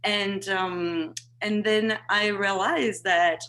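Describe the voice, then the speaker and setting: A woman's voice: medium pitch, steady tone, drawn-out words, fairly bright tone, a little hiss or hum. A woman in her thirties whose first language is Portuguese, talking casually on a video call and often restarting as she searches for words. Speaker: workshop participant. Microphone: video call microphone.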